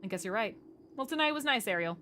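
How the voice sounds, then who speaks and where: A woman reading the lines of a polite young man who hides something sinister, in a flat, low-key way. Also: fast, a little hiss or hum. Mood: calm.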